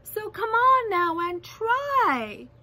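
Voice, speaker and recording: A woman voicing a kind, loyal, anxious male elephant in a storybook, with emphatic, drawn-out calls. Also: high-pitched, very animated, pushed and bright, exaggerated voice. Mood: urgent, encouraging.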